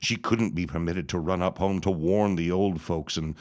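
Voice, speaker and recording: An actor playing a sinister, scheming small-town reverend in an audio drama, gloating and menacing. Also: male voice, fairly even intonation, darkish tone, lightly acted. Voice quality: deep, slow, drawn-out menace. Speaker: Reverend Gaumont. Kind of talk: radio drama acting.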